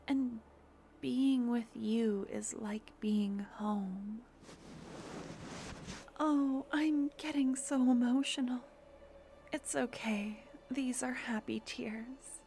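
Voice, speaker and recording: A woman voicing a tender young necromancer girlfriend, talking in a soft whisper in a fantasy ASMR roleplay. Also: a little high, creaky, slight background noise, heavily acted.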